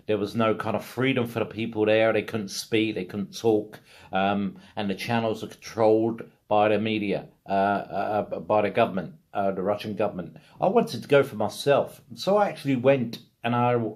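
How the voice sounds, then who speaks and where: A man's voice: fairly low pitch, darkish tone. A British man in middle age talks casually, like a video blogger recounting his own experiences. His delivery is rambling yet blunt and emphatic. He swears freely as he goes.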